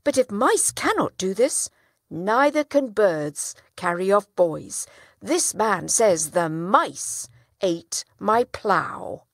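A woman's voice: medium pitch, expressive, slow, no echo, studio quality. A woman voicing a shrewd male village trader, speaking up earnestly and insistently.

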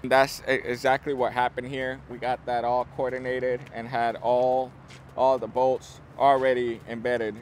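A man in his thirties talks through a construction site like a company guide showing clients around. His pitch is average for a man. He explains informally and off the cuff, repeating and rewording as he goes. Outdoor noise is audible around his voice. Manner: informal, repeats and rephrases himself. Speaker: construction company presenter giving a site tour. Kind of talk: unscripted explanatory walkthrough. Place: outdoors on an open steel-frame construction site.

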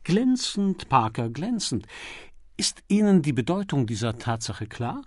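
A man voicing an urbane, teasing aristocratic gentleman detective in an audiobook crime story. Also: on the high side, big pitch swings, unhurried, no room sound, clean studio sound. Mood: delighted, brisk. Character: witty aristocratic gentleman sleuth, keen and teasing.

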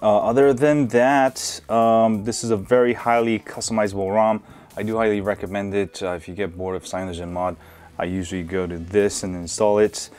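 A man hosting a casual hands-on phone review, relaxed and full of filler words, recorded indoors at a desk. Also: lowish voice, normal speed, clean tone, faint music behind. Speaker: tech video host.

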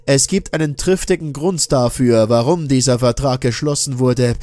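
A man voicing a grave expedition captain in a horror audiobook, speaking in a solemn, measured, emphatic way. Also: medium pitch, darkish tone, dry recording, close to an everyday voice. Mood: grave warning. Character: grave expedition captain warning his crew of danger.

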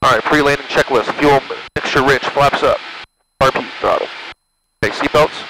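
A man's voice: medium pitch, normal speed. An American man piloting a small plane, chatting casually and explaining as he goes between radio calls over a headset. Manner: explains things as he goes, checking with his listener. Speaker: pilot and vlogger. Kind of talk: casual cockpit chat mixed with radio calls. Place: small propeller plane cockpit in flight. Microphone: aviation headset. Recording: cockpit headset intercom, engine hum.

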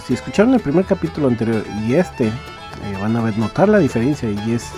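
A man's voice: medium pitch, very animated, fast talker. A man hosting a podcast, giving a casual sign-off to listeners. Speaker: podcast host. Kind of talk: casual episode outro. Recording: music playing behind voice.

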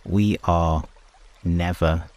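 A man hosting a sleep meditation, speaking in short, hushed phrases with long pauses between them, as in guided prayer. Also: low-pitched, fairly even intonation.

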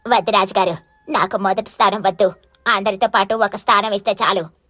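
Woman voicing a grumbling, lowish lazy mother crow. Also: steady tone, a little fast, a bit bright, no room sound, faint music behind, natural voice. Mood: humbled. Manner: complaining, wheedling.

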